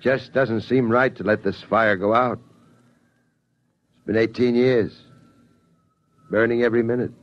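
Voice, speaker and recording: A man's voice: medium pitch, steady tone, measured pace, pauses often, close to an everyday voice. An actor playing a weary, protective father in a 1950s radio drama. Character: weary, protective father, head of surviving family. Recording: muffled old radio recording.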